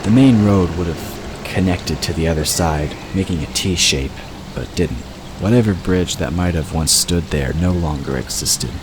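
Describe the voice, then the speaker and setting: A man's voice: low voice, moderate pace. A man reading scripted fiction as the narrator of an audio drama. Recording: clear ambient noise under voice.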